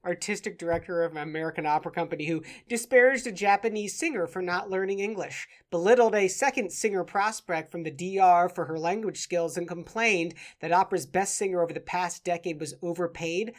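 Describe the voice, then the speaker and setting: Low-pitched woman hosting with dry wit. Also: animated, fairly quick, few pauses. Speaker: podcast host. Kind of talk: lightly scripted hosting and banter.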